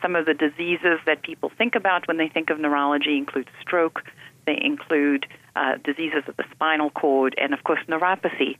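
A woman, a neurologist guest explaining her field in a measured way. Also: deep voice, steady tone, no room sound, narrow, tinny audio. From South Africa. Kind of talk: radio interview.

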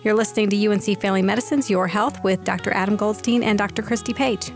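A woman co-hosting a radio health show, a smooth and friendly presenter. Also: mid-range voice, steady tone, fast talker, faint music behind. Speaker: radio host, family doctor.

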